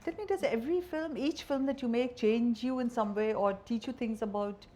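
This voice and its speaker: A woman's voice: fairly high pitch, brisk, warm tone. A woman with an Indian accent asking questions as an interviewer, in an informal and inquisitive way. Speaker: interviewer, senior journalist. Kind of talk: conversational interview.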